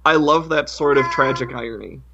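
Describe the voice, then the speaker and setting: A man's voice: medium pitch, fairly quick, dull audio, noisy background. A man co-hosting a podcast in a casual fan discussion, informal and opinionated in the way he talks. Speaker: podcast co-host.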